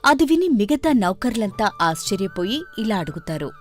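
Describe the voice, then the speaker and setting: A woman narrating a scripted story in an expressive storytelling manner. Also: medium pitch, normal speed, faint music behind.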